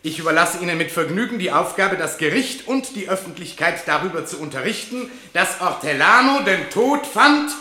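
A flamboyant defence advocate addressing a court in a radio drama. The voice is highish, very bright and forceful, echoing in the room, with ironic courtesy and rhetorical flourish. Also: male voice, animated, moderate pace, hardly any pauses, heavily acted. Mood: ironic, declamatory. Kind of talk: courtroom oratory in German radio play.

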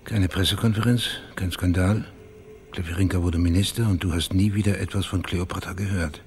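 A man's voice: low voice, steady tone, a little fast, a little mellow, faint music behind, natural voice. An actor playing a laconic, matter-of-fact male private detective in a radio drama, persistent in his questioning. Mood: probing.

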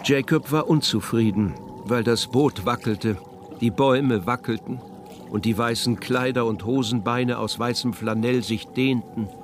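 A man's voice: mid-range voice, a little slow, background noise. A man narrating a literary radio drama as scripted prose.

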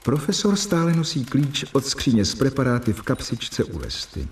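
An earnest young doctor, voiced by a man, recounting his efforts in a measured voice with a thread of dry irony. His pitch sits in the middle male range but moves a lot, and the mic sounds a little far off, as in a radio drama. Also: big pitch swings, slight background noise, close to an everyday voice.

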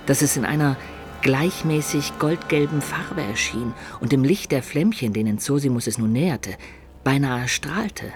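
A man narrating a dark adventure tale in the first person for a German radio play, measured, composed and literary. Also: on the high side. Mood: grave.

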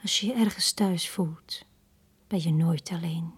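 A very breathy, lonely young woman. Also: fairly low pitch, a little slow. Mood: longing. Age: young adult. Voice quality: breathy, husky. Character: lonely, pleading young woman, frightened yet proud. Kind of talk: radio drama acting.

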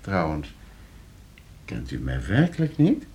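An actor playing a smug, scheming lawyer in a Dutch radio play, suave and condescending, with a Netherlands accent and hesitant repetitions. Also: male voice, low-pitched, huge pitch swings, slow pace, a little mellow, exaggerated voice. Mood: needling, smooth. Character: smug, scheming lawyer, falsely hospitable and needling.

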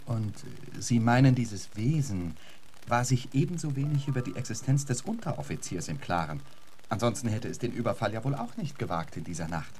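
Man acting a lively, skeptical gentleman. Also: mid-range voice, a little fast, slight background noise, lightly acted. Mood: skeptical questioning. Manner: questioning, doubting, expressive. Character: skeptical, probing gentleman lecturer, sharp-witted. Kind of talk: German radio drama acting.

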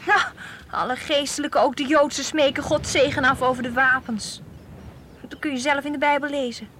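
A woman's voice: high-pitched, steady tone, moderate pace, fairly bright tone. An actress playing an outspoken, sceptical teenage girl who argues emphatically in a radio play. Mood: challenging, sceptical. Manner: challenging, emphatic. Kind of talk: Dutch radio play acting. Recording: faintly hissy old radio play recording.

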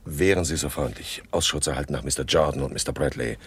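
Cool bossy crook faking a police inspector, low voice, old radio play with some background noise. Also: male voice, fast. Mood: smoothly official. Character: gang boss posing as police inspector, brisk, cool, commanding. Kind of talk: 1970s German radio crime comedy acting. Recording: noisy 1970s radio drama tape.

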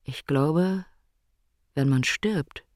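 An actor playing a brooding, philosophical ailing man in a radio play monologue, speaking in deliberate, emphatic short phrases. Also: medium pitch, no room sound, studio quality. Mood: haunted, reflective.

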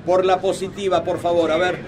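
A man playing a brisk union delegate chairing a workers' assembly in a radio drama, calling out. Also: sits high, flat delivery, natural voice. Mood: commanding. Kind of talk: naturalistic radio drama acting. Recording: off-mic amid a noisy crowd.

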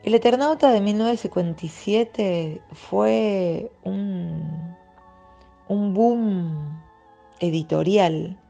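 A woman giving reflective interview answers, halting as she searches for words. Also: mid-range voice, gravelly, dark tone. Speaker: interview guest, journalist and writer. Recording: dull lo-fi interview recording. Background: faint music.

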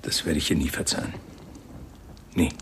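A man's voice: normal speed, a little hiss or hum, lightly acted. A man playing a defiant, embittered husband and father in a German radio crime drama, curt and indignant in manner. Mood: bitter, stubborn. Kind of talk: radio play acting.

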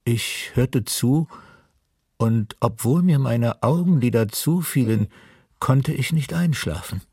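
A man's voice: mid-range voice, lively intonation, slow, mellow, breathy edge, no echo, studio quality, heavily acted. An actor playing a male survivor tenderly and sorrowfully recalling childhood in a documentary radio drama.

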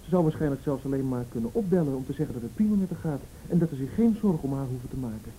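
A woman's voice: expressive, very fast, mellow. An actress playing a worried, wry woman in a radio drama, speaking in a conversational way. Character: worried, wry woman sheltering a runaway friend. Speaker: actor in a radio play. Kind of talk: Dutch radio drama acting. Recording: rumbly, noisy old radio recording.

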